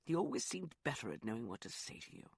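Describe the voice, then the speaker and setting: A man reading a remorseful gentleman, soft. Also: mid-range voice, big pitch swings, quick pace, gravelly, breathy edge, no echo, clean studio sound, exaggerated voice. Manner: soft, hesitant and apologetic. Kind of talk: audiobook character voice.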